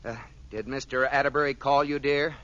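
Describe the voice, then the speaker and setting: Man acting a flustered, bright-voiced radio husband. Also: mid-range voice, steady tone, brisk, close to an everyday voice. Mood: frantic cover-up. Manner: stammering, nervous. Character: flustered, eager-to-please husband and junior banker. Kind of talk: 1940s radio comedy acting. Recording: muffled, hissy old radio recording.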